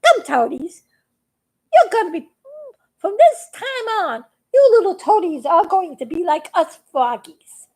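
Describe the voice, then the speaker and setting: A woman doing a squeaky, fretful little frog boy. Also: very animated, measured pace, darkish tone, exaggerated voice, rough recording. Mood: joyful. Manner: high, fretful, pleading. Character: timid little boy frog, kind-hearted and anxious.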